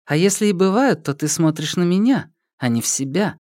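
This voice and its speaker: A man's voice: sits high, expressive, brisk, a little mellow, no room sound, pitched above the performer's own voice. A man voicing an inquisitive, frank woman in an audiobook, speaking in an earnest, probing way. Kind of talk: audiobook character voice.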